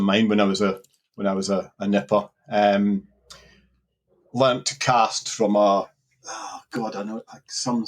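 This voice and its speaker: A middle-aged man from Scotland with an Edinburgh accent, a guest in a relaxed podcast interview who rambles and repeats words as he searches for them. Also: lowish voice, husky. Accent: Edinburgh Scottish. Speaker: guest angler.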